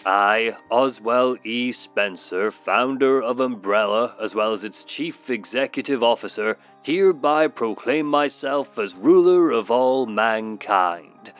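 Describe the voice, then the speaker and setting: A man's voice: medium pitch, a bit bright. A man voicing a megalomaniac old corporate tyrant, pompous and grandiose, in a dramatic villain reading. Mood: grandiose, imperious. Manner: pompous, slow, grandiose proclamation. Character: megalomaniac elderly male corporate tyrant proclaiming himself god. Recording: dull muffled audio under faint music.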